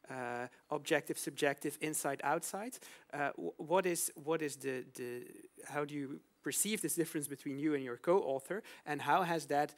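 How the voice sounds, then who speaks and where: Guy moderating a talk, kinda high voice, lots of um and restarts. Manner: halting, many fillers and restarts. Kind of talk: moderating an academic Q&A.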